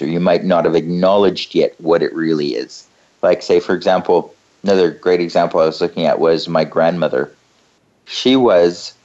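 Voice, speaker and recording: A man hosting a talk show, speaking in a relaxed, rambling way and often repeating phrases. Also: low voice, muffled recording. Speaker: host.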